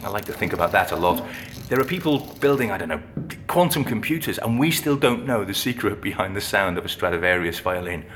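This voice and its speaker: A man playing a friendly, thoughtful music-box restorer in an audio drama, explaining things in a conversational, unhurried way. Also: lowish voice, lively intonation, fairly bright tone, slight background noise. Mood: thoughtful, enthusiastic. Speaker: actor playing an interviewee. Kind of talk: naturalistic audio drama dialogue.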